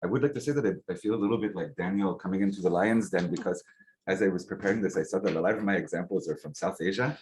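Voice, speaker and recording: A man giving an academic lecture to a seminar, relaxed and conversational, with frequent um and uh and rhetorical "right?" checks. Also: low-pitched, quick pace, low-quality recording. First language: English. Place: lecture hall. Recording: faint background noise, clicks.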